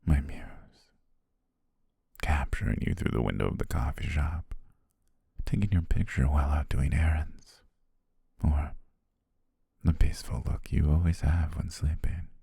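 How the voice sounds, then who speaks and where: A man playing an obsessive, menacing photographer in love, speaking slowly and intimately in an ASMR roleplay with a husky, breathy voice. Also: no room sound, studio quality, exaggerated voice. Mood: adoring, obsessive. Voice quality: very deep, husky, creaky, breathy. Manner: slow, hushed, lingering pauses. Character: obsessive, menacing yandere male photographer stalking his beloved.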